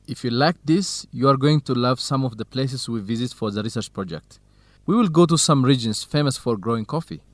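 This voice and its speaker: An actor with an Ethiopian accent playing a polite, modest research partner in an educational radio drama, speaking earnestly. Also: male voice, mid-range voice, fairly quick, no echo, close to an everyday voice. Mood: welcoming. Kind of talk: radio drama acting, measured educational dialogue.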